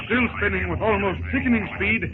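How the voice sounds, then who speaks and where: A man's voice: high-pitched, brisk. A man giving florid, dramatic scripted narration in the manner of a 1930s radio serial. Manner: florid, theatrical. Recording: noisy tinny 1930s radio recording.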